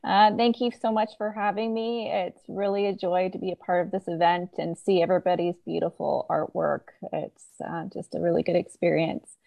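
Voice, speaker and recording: Creaky-voiced woman introducing her artwork. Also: on the low side, fairly even intonation, normal speed, dull audio. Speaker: local artist. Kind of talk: prepared artist introduction. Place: indoors on a video call. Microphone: video call microphone.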